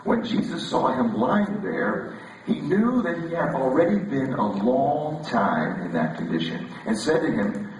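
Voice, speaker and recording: A male preacher with a scratchy voice gives a casual, joking sermon, repeating words as he restarts. His pitch rises and falls freely. He sounds distant, caught by a far mic in a big, echoing hall. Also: sing-song, a little slow. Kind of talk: relaxed, conversational sermon.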